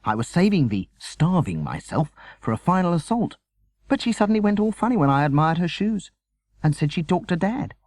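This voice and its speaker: A man's voice: high voice, big pitch swings, a little fast, a little mellow, no room sound, pitched above the performer's own voice. A man voicing a persistent, cheeky young storybook boy who talks in an eager, pushy, frank way. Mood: puzzled, boastful.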